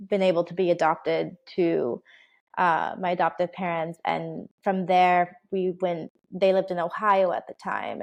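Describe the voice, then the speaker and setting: A woman in her twenties, raised in the United States, chatting as an interview guest in a bubbly way with frequent laughs and fillers. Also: on the low side. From United States (Oregon). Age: 20s. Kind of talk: casual interview chat.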